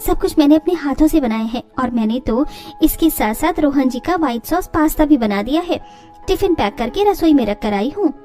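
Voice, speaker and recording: Lady playing a chirpy crafty young bahu in a cartoon, really high voice, light music under. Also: lively intonation, a little fast, dark tone. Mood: proud, chirpy. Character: cheerful, confident, crafty young daughter-in-law. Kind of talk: cartoon family-story acting.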